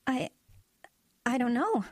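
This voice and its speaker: An actress playing a kind young small-town woman in an audio drama, speaking in a gentle, conversational way. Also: high-pitched, lively intonation, slow pace, dry recording, studio quality, full character voice. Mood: dazed, worried. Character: kind young small-town hospital doctor, pastor's sweetheart. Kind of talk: naturalistic audio drama acting.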